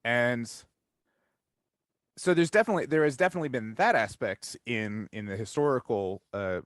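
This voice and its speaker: A man hosts an informal discussion interview in a mid-pitched voice. He talks conversationally, rambling and halting as he works out his thoughts. The sound is close and dry, with very little room in it. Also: expressive, a bit bright, no room sound. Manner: halting, thinking aloud, rambling. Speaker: interview host.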